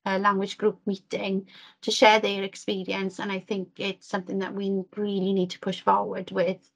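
A woman's voice: mid-range voice, completely flat delivery, normal speed, creaky, breathy edge. A woman from Wales giving an earnest work report on a video call, with frequent ums and restarts. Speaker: Welsh language officer reporting to committee.

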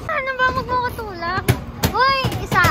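High-voiced Filipino young woman vlogging. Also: normal speed. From Philippines. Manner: playful, teasing, mixes English and Tagalog. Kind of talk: casual family vlog. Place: outdoor amusement park. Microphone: handheld phone camera. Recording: loud crowd and ride noise outdoors.

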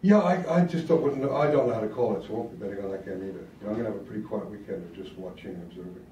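A middle-aged man from Wales hosting a casual rugby chat in a small studio, relaxed and chatty, often repeating words as he thinks. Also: fairly low pitch, very fast, recorded from a distance, a little hiss or hum. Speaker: host. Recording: roomy studio sound, mic set back.

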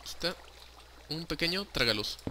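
Bright-voiced young Mexican man gaming. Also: on the high side, expressive, normal speed, a little hiss or hum. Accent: Mexican Spanish. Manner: chatty, joking, swears casually, mixes in English words. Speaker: gaming YouTuber. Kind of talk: casual Minecraft let's play commentary.

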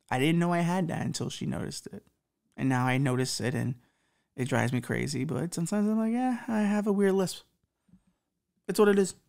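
Thirties guy w a little lisp, gravelly voice, swears a lot, rambles on. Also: a little high, darkish tone. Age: 30s. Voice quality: slight lisp. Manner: profane, rambling, self-mocking. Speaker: podcast host. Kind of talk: rambling advice monologue.

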